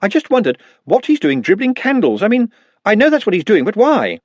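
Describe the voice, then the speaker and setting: A man voicing a fussy, flustered young wizard academic in an audiobook, with a husky voice. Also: high-pitched, wildly swooping pitch, brisk, dry recording, pitched above the performer's own voice, heavily acted. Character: excitable high-strung young male wizard academic.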